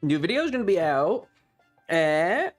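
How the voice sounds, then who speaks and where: Livestream host, medium pitch, casual chat, rambling, thinking out loud, music in the background. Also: very animated, measured pace. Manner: informal, rambling, thinking aloud. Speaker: streamer and ASMR video creator hosting a livestream.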